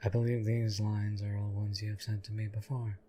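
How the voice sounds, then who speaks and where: A stoic, devoted knight acted by a man speaks in a low, even monotone. His delivery is formal, measured and deadpan, as in an intimate audio drama addressed to his liege. Noticeable background noise sits behind the voice. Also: lightly acted. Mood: earnest, deadpan. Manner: deadpan, formal, measured, archaic courtly phrasing. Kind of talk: scripted audio roleplay addressed to the listener.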